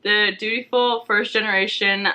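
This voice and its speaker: A woman's voice: medium pitch, steady tone, normal speed, sharp tone, clean tone, poor audio. A young woman chatting casually about books as a vlog guest, with informal, tentative phrasing. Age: young adult. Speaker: guest co-host on a book vlog. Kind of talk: casual book chat.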